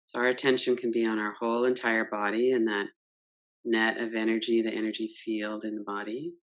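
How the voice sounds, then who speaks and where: Gentle woman guiding a meditation. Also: rumbling low, flat delivery. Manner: gentle, unhurried, guiding with soft questions. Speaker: meditation teacher. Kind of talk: guided yoga nidra meditation.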